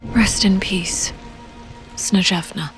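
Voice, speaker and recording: A woman's voice: medium pitch, slow, music in the background, lightly acted. A woman voicing a solemn, composed maternal figure in a video game. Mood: mournful. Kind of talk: scripted video game voice acting.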